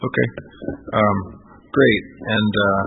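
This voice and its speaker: A man's voice: fairly low pitch, fairly even intonation, takes it slowly, creaky, husky, soft background music. A man chairing a committee meeting, hesitant and full of fillers. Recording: tinny phone-like sound with faint noise.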